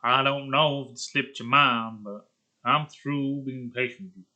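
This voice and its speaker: A scheming supervillain acted by a man, smug and threatening. His voice is bright and forceful at a medium pitch, close to that of an ordinary politician. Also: steady tone, measured pace, lo-fi sound. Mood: smug threat. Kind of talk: animated villain script reading.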